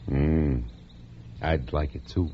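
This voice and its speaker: A man's voice: extremely low pitch, fairly even intonation, slow. An actor playing a devoted, easygoing male lover with a teasing, informal manner in mid-century radio drama. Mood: playful, tender. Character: playful, devoted male lover, a piano player. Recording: dull, noisy old radio recording.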